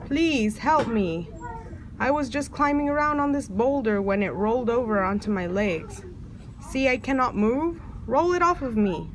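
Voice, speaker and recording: A woman's voice: a little high, animated, measured pace, noisy background. A woman voicing a boastful, wheedling male trickster in a children's storybook, self-justifying and falsely generous.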